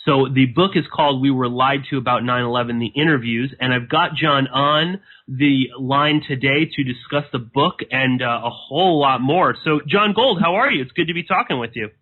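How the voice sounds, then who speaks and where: A man hosting a podcast interview, talking in a halting way with many fillers and restarts while chewing nicotine gum. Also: medium pitch. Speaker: podcast host. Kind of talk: casual interview intro. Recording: tinny phone-like audio.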